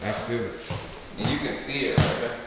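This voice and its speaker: A man's voice: medium pitch, brisk. Man chatting casually in echoey empty rooms. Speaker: father touring a house with family. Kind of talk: casual chat. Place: indoors, empty house rooms. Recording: echoey empty rooms, loud handheld camera noise.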